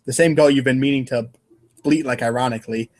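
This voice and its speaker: A man's voice: medium pitch, steady tone, a little fast, darkish tone. A man reading comic narration aloud in a casual, irreverent way, stumbling over lines now and then. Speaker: podcast co-host and reader.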